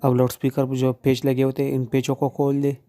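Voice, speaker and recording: A man's voice: mid-range voice, completely flat delivery, a little fast, mellow. A man giving plain, informal step-by-step how-to instructions, speaking directly to the viewer. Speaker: phone repair tutorial presenter.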